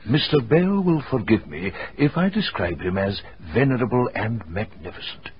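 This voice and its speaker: An actor playing an urbane gentleman narrating a radio drama in a formal, wry, literary manner. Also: mid-range voice, sing-song, moderate pace, close to an everyday voice. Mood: wry, measured. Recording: muffled radio broadcast, faint hiss.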